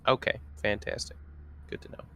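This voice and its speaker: A man's voice: normal speed, pushed and bright, a little hiss or hum. A man speaking casually and agreeably as a player in a tabletop roleplaying game. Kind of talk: casual in-character roleplay chat.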